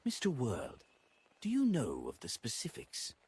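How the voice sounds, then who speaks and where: A man's voice: medium pitch, wildly swooping pitch, unhurried, a little mellow, husky, voice pushed up, heavily acted. A man voicing an eager, curious young noblewoman in an audiobook, with a lifted, inquisitive delivery.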